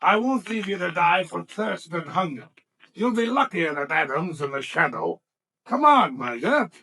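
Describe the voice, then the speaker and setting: An actor playing a cold, threatening, scheming swindler, curt and commanding, in a 1930s radio drama. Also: male voice, high voice, very animated, normal speed, sharp tone, slightly rough, exaggerated voice. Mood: menacing.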